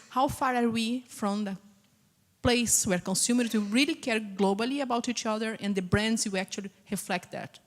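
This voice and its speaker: A woman from Portugal with a Portuguese accent, asking the speaker a long, earnest question from the audience. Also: medium pitch. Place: large conference hall. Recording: long hall reverb at a conference.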